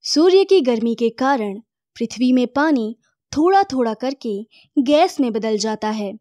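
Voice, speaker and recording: A woman giving a scripted voice-over for an animated technical lesson, in a measured explaining tone. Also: fairly high pitch, no room sound.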